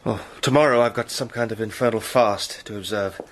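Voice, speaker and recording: A man's voice: fairly low pitch, brisk, a little hiss or hum, close to an everyday voice. A man playing a haunted British adventurer caught up in a plot, speaking intensely and stammering under strain in a radio drama. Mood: resolved. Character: Sandy, haunted British adventurer in disguise, anguished.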